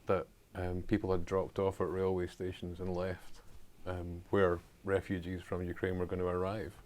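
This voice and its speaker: A male podcast host with a low voice that stays level, with little rise and fall. He talks thoughtfully and fills his pauses with soft ums. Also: monotone. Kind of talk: podcast conversation.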